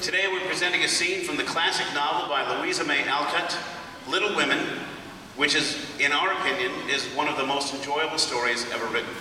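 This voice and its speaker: A man's voice: on the high side, fairly quick, crowd noise. A man giving a formal scripted introduction to an audio drama, as its director and announcer. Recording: very echoey hall, far mic, noisy.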